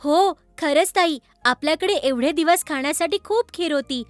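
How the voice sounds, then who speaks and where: A woman playing an earnest, kindly younger sister with expressive delivery in a children's cartoon. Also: high voice, normal speed, no echo, faint music behind, lightly acted.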